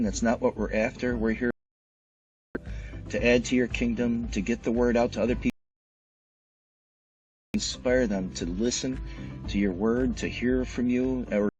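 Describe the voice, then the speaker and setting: A man's voice: on the low side, low-quality recording. A man speaking conversationally as an author and interview guest. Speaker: novelist guest.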